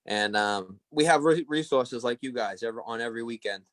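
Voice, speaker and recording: A man's voice: medium pitch, a little fast, a bit bright. Upbeat man with a Boston accent. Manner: upbeat and encouraging. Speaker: co-host. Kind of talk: casual live-stream chat.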